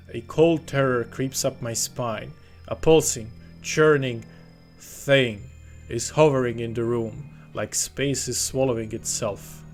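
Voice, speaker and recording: Man narrating a gothic game over music. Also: medium pitch. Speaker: gaming channel host. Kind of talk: game overview commentary mixed with reading the game's story text aloud. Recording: music under the voice.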